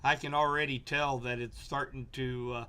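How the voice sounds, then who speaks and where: A middle-aged man with a hoarse, fading voice, slowly walking viewers through weather charts on a live stream. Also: mid-range voice, little pitch movement, pushed and bright. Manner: slow, explanatory, walks viewers through charts. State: hoarse, losing his voice. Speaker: local weather forecaster.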